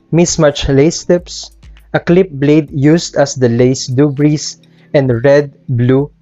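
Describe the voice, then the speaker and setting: A man's voice: mid-range voice, a little mellow. Man reviewing sneakers over music, dull audio. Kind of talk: scripted product review narration. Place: small indoor room with sneaker shelves. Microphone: clip-on lapel mic. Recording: muffled lo-fi audio, music underneath. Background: background music.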